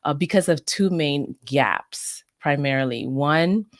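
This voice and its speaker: A woman's voice: deep voice, slow, no echo, clean studio sound. A middle-aged woman from the Bahamas, a communications advisor who answers interview questions in an earnest, reflective and articulate way.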